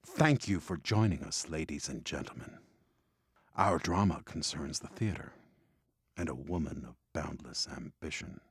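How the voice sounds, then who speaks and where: A man narrating and hosting an old-style radio drama, dropping into dramatic hushes. His voice is deep and husky yet lively in its rises and falls. The sound is dry and close. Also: normal speed, dry recording. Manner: dramatic, hushed storyteller delivery. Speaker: narrator and host.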